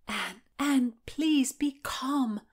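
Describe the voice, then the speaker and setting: A woman voicing a sharp-tongued, fiercely loyal Tudor lady-in-waiting, earnest and scolding, in a narrated historical story. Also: a little high, expressive, measured pace, pitched above the performer's own voice. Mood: soothing.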